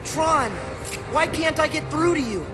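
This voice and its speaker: A man voicing an earnest, excitable teenage boy hero in a video game cutscene, speaking emphatically. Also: very high voice, unhurried. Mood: emotional, urgent. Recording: loud music behind the voice. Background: loud game music.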